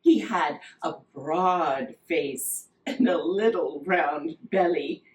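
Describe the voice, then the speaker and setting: A woman telling a children's story as Mrs. Claus, reading expressively and deliberately with dramatic, emphatic pauses, recorded with the microphone at a distance. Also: sits low, darkish tone. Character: Mrs. Claus, cheerful storyteller wife of Santa. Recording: roomy echo, distant microphone.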